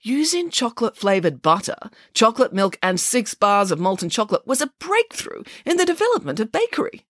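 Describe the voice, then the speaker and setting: A woman voicing a bossy, theatrical, self-assured lady pig from a children's story, grand and brisk in manner. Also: on the high side, very animated, dry recording, clean studio sound, voice pushed up. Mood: breezy, persuasive. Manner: grandiose, brisk, dismissive, emphatic. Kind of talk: acted children's storybook dialogue.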